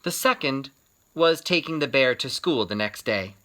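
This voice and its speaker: Man, children's story reader, very animated intonation, bright tone, theatrical phrasing, dramatic pauses. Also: medium pitch. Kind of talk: expressive read-aloud storytelling.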